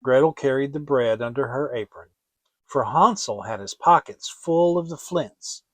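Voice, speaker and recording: A man narrating a fairy tale as a dramatic reading, in a theatrical, deliberate storytelling manner. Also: medium pitch, lively intonation, fairly bright tone, no room sound. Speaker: audiobook reader.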